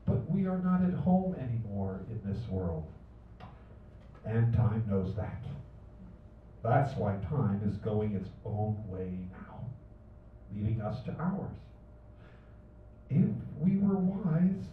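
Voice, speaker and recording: A man's voice: medium pitch, expressive, slow pace, long pauses, a little hiss or hum. An older man reading his poem at a cafe open mic, chanting slogans and dropping to near whispers. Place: small cafe at night, indoors. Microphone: stand mic from a far camera. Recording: roomy, distant, dull livestream audio.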